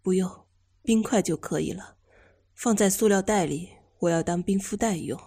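A woman playing a weary, feverish character in a radio drama, with a husky, mellow voice. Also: mid-range voice, normal speed. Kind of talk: broadcast drama acting.

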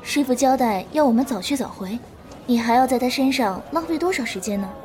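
An actress playing a gentle, patient and doting young swordswoman in a Chinese martial-arts audio drama, speaking softly and with composure. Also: sits high, lively intonation, brisk, over loud music. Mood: calm, firm.

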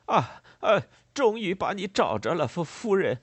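A man's voice: sits high, sing-song, moderate pace, husky, no room sound, pitched above the performer's own voice, heavily acted. A man voicing a frantic, greedy, angry farmer in a storybook, stammering and blustering as he repeats his words.

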